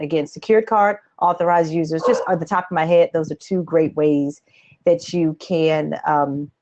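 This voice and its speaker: A woman in her late forties hosting a live advice webcast from a home office, answering questions in a chatty, animated, conversational way. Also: sits low. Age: late 40s. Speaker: host, credit coach. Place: small home office, indoors. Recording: dull lo-fi webcam audio.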